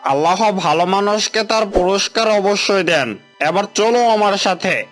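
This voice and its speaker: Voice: mid-range voice, animated, normal speed, over music, natural voice. An actor voicing a grateful, friendly talking fox in a moral-story cartoon, courteous and earnest. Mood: approving.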